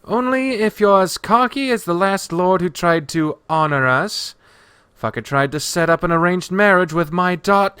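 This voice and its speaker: A man's voice: on the high side, very animated, a little slow, a bit bright, dry recording, clean studio sound, voice pushed up. A man voicing a fierce, cocky female beast warrior and protective mother in a fantasy story, brash and sardonic. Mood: sardonic, wary.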